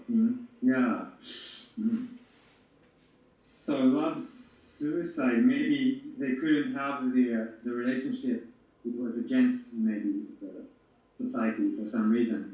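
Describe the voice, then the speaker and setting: A man's voice: mid-range voice, dark tone. A man born in New York and raised in Japan, speaking hesitantly and hedging his points in a seminar discussion. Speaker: seminar participant. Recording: roomy, dull, from far off.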